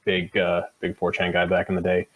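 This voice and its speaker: A man's voice: low-pitched, monotone, brisk, fairly bright tone. An American man who develops games, chatting casually in technical jargon with self-deprecating, profane asides. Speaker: video game developer.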